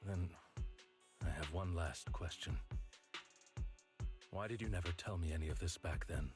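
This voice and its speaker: An actor playing a serious, composed man in a video game, with a formal, measured and earnest delivery. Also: sits low. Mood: earnest, probing. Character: serious, composed man, probing old friend of a rebel. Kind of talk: dramatic video game voice acting. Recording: music behind the voice.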